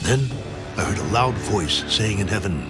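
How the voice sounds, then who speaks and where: A man narrating scripture as a drama, solemnly and with deliberate phrasing, over loud music. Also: on the low side.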